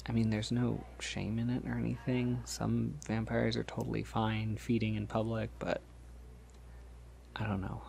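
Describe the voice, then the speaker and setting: A man playing a polite, shy vampire in an ASMR roleplay, speaking softly and haltingly in a gentle, reassuring way. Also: on the low side, gravelly. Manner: hesitant, halting, gentle, reassuring, with small nervous chuckles. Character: polite, shy, considerate male vampire on a first feeding date.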